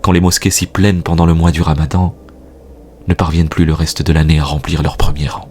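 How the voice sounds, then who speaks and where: A man with a husky voice recites spoken-word poetry in a solemn, earnest, exhortatory manner, posing rhetorical questions. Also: rumbling low, little pitch movement, a little fast, darkish tone, slight background noise. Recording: music bed behind the voice. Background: music.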